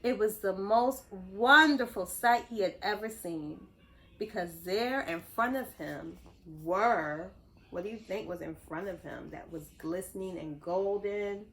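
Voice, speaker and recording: A woman reading a story aloud to young children, expressive, pausing to explain things and ask simple questions. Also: medium pitch, slight background noise, poor audio. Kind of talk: animated read-aloud narration.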